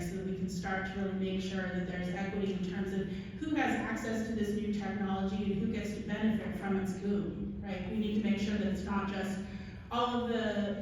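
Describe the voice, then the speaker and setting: A woman's voice: mid-range voice, a little mellow. A middle-aged American woman gives a conversational conference talk at a podium. She sounds far from the microphone in a big, very reverberant hall, with faint noise behind her. Her delivery is informal, with frequent little check-ins to the listeners. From Oregon, United States. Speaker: keynote speaker, architect. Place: large hall, at a podium on stage. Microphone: gooseneck podium mic, filmed from audience distance.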